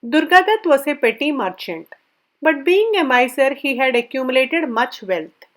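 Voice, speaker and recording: High-pitched woman reading a story. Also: animated, normal speed. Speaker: storyteller, reader. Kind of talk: scripted narration of a children's story.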